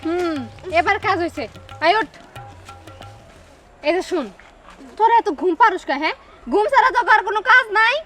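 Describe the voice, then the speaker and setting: A woman acting an exasperated young friend in a comic drama, with a very high voice. She nags and scolds in rhetorical questions while music plays over her. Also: expressive, normal speed, pauses often, fairly bright tone. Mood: scolding. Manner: nagging, full of rhetorical questions. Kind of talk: acted dialogue in a Bangla comic short drama.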